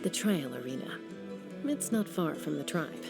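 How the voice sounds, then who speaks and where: A woman voicing a young female flying-squad rider who talks calmly and reassuringly in a video game. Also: deep voice, measured pace, vocal fry, over loud music, full character voice. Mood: warm. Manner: calm, reassuring.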